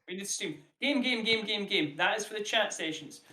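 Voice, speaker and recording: Chatty game master in an echoey room. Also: male voice, high-pitched, expressive, fairly quick, bright, forceful tone. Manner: chatty, jokey, rambling. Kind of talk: hosting a streamed tabletop game.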